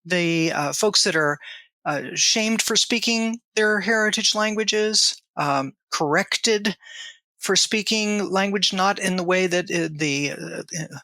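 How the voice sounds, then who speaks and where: Podcast host, woman, bright forward tone, winding questions, dry close sound. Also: lowish voice, slow pace, no echo. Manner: long winding questions with many fillers. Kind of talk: interview podcast.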